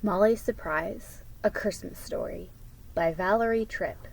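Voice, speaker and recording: A woman reading aloud as an amateur storybook narrator, in a measured way with the odd stumble. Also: on the low side, gravelly, background noise. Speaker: amateur book reader. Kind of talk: casual introduction and storybook narration.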